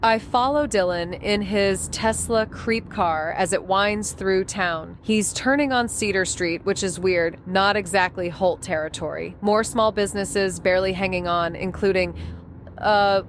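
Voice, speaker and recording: A woman's voice: medium pitch, a little slow, slight background noise, close to an everyday voice. An actress playing a fierce, sarcastic young detective in a noir audio drama, blunt and rambling as she tells her story. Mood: sardonic, agitated. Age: young adult. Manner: sardonic, blunt, rambling, self-interrupting. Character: Amy, fierce sarcastic foul-mouthed young female detective. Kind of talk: first-person noir audio drama narration.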